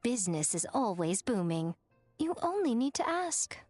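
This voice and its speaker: A woman's voice: on the high side, very animated, moderate pace, darkish tone, no echo, soft background music. A woman playing a sly, elegant, self-assured shrine maiden with an arch, teasing manner in an anime-style video game. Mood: sly, playful. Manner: arch, playful, teasing, theatrical.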